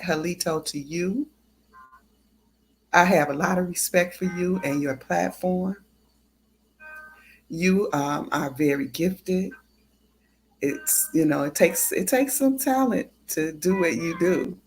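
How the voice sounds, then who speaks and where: A livestream host talking casually and haltingly, with drawn-out pauses between phrases. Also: mid-range voice, slight background noise.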